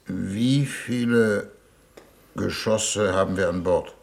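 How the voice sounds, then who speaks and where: Weary, haughty statesman with creaky voice. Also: deep voice, exaggerated voice. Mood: formal, cold. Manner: deliberate, formal, aggrieved. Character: weary haughty male foreign minister on a diplomatic mission. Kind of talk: 1950s German radio play acting. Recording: 1950s radio recording, background noise.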